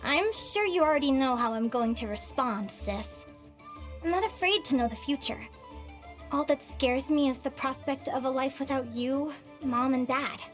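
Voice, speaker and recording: A woman playing a plucky, optimistic young adventurer in a video game, bubbly, heartfelt and expressive. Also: high voice, normal speed, soft background music, lightly acted. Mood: fond, earnest. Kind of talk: scripted video game dialogue.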